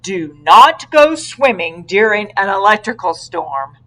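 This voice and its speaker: A woman voicing an earnest male police officer who announces safety tips in an emphatic, deliberate way for a storybook. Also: medium pitch, very animated, fairly bright tone. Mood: earnest, instructive.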